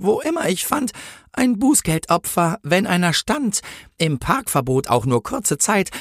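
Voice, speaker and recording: A man's voice: high-pitched, no echo, clean studio sound, pitched above the performer's own voice. A man voicing a bullying, officious female traffic warden in a comic Christmas story, with a scolding, sneering manner.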